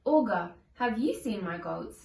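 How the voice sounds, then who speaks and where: A woman reading a children's storybook aloud in simple, deliberate phrases, acting out the dialogue expressively. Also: mid-range voice, sing-song, gravelly, darkish tone, slight background noise. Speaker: storybook reader. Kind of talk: children's read-along storytelling with acted dialogue. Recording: echoey room, distant from the mic.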